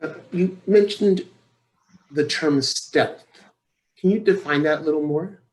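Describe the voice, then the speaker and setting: An American man talking conversationally in a webinar, sharing reflections and putting questions in a deliberate way. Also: fairly high pitch, poor audio.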